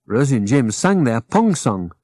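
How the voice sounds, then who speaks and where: A man's voice: mid-range voice, sing-song, moderate pace, darkish tone, dry recording, clean studio sound. A British man reading children's stories aloud as the narrator of an audiobook. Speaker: author and narrator.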